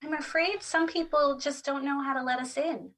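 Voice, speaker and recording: A woman plays a tender, genteel spirit of emotion in an audio drama. Her voice sits high, and she phrases things in a precious, ladylike way. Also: steady tone, moderate pace, a bit bright. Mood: regretful. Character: genteel, sorrowful, tender personified positive emotion.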